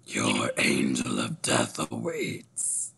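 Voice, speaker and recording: Very deep, gravelly phantom avenger. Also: male voice, fairly even intonation, fairly bright tone, heavily acted. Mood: slow menace. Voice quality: gravelly, whispery rasp. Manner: slow, drawn-out, ominous delivery. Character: menacing masked phantom avenger, an angel of death. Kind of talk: animated superhero film table read.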